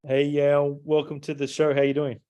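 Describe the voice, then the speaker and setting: A man hosting a podcast interview in a casual, halting way, repeating words as he forms questions. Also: on the high side, monotone, mellow, clear, resonant tone. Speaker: podcast host.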